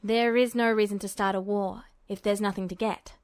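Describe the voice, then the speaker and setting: A woman voicing a wry, cool-headed young male foreign soldier in a story, with terse, halting phrasing. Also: medium pitch, fairly even intonation, a little mellow, no room sound, voice pushed down, natural voice. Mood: searching, serious. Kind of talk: storybook character dialogue.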